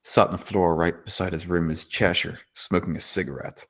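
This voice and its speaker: Deep-voiced man narrating a screenplay. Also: steady tone, normal speed, bright, forceful tone. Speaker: stage-direction narrator.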